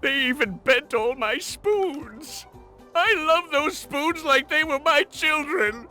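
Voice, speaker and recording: A man's voice: extremely high pitch, lively intonation, slow pace, heavily acted. A man voicing a tiny, pompous, evil schemer in a cartoon video game, theatrical and self-important. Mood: grumpy, evasive. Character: tiny evil male schemer, bombastic, self-pitying mad genius. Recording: loud music bed behind voice.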